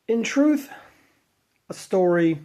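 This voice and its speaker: A man's voice: high-pitched, animated, slow, dark tone. A man narrating an old legend in a casual, offhand way, wry and a bit profane, with fillers and loose restarts. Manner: wry and offhand, with mild swearing. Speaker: narrator and channel host. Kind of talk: casual spoken folklore narration.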